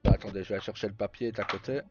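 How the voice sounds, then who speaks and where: Male streamer, lowish voice, loose sweary chat in clipped bits, slightly muffled audio over faint music. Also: steady tone, very fast, darkish tone. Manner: colloquial and sweary, talks in short, broken-off phrases. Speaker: live streamer. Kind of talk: casual, unscripted livestream chat to viewers. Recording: dull roomy sound with faint music. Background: faint game music.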